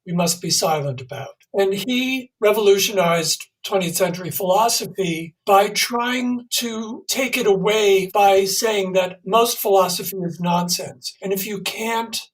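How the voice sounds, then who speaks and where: A man's voice: high voice, unhurried, hardly any pauses, recorded from a distance, poor audio. Explaining man, slightly off mic. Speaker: playwright, interview guest. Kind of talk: explanatory interview talk.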